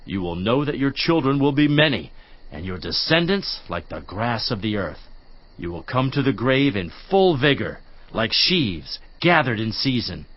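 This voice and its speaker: A man's voice: medium pitch, normal speed, highs cut off. A man voice-acting a solemn friend giving declamatory counsel in a dramatized Bible reading. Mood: admonishing. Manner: declamatory, lively preaching cadence.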